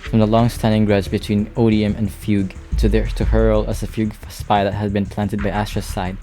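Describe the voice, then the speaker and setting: A man with a fairly low voice comments casually on a game as he plays. He sounds like a streamer, with faint game music behind him. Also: flat delivery, a little fast, mellow.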